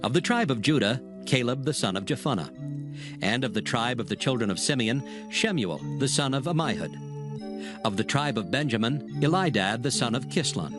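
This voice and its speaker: A man narrating a dramatized scripture reading in a measured, stately way. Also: medium pitch, expressive. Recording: studio voice over music bed.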